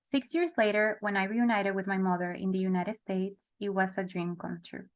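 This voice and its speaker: A woman's voice: medium pitch, steady tone, normal speed. A young woman from El Salvador, a therapist, earnestly telling her life story in a close-mic recorded video. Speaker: honoree, therapist.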